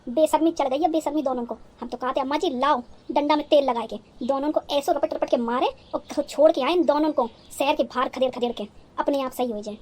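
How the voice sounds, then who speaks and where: A woman's voice: high voice, fairly quick, hardly any pauses, a little mellow, lightly acted. A woman playing a naive, dramatic, talkative young village bride in a cartoon, with a rural North Indian accent and a rambling, guileless manner. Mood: indignant. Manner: rambling, guileless, theatrically indignant. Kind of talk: cartoon story dialogue in rustic dialect.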